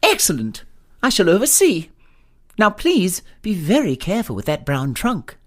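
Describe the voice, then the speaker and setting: An eager city gentleman out in the Wild West, with a very high voice that swoops wildly up and down. He speaks in flowery, formal exclamations, full of naive polish, in the style of a comic western radio play. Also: huge pitch swings, normal speed, background noise. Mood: pompous, brisk. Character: eager, genteel, naive gentleman greenhorn from New York. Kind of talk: comic western audio drama acting.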